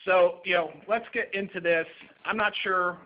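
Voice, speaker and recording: A man giving a practical seminar presentation to a room, talking briskly through slides. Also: high-pitched, fairly even intonation, dull audio. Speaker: lawyer presenter. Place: conference room with a live audience.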